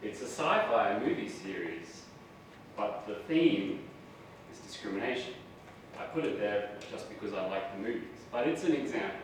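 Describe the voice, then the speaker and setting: A man with an Australian accent giving a university lecture, chatty and self-deprecating, often asking the audience questions. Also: medium pitch. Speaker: guest lecturer. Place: large lecture hall. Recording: echoey hall, voice from far off, noisy.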